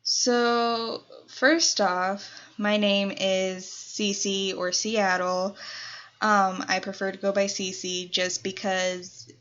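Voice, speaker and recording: A young woman of nineteen talks through a casual question-and-answer video, in an unhurried, rambling way full of "so" and "um". Her voice sits at an ordinary middle pitch. The recording is a little dull and lo-fi. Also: little pitch movement, a bit bright. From Colorado. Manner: chatty, rambling with many "so" and "um" fillers. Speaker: YouTuber. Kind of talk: casual Q&A vlog.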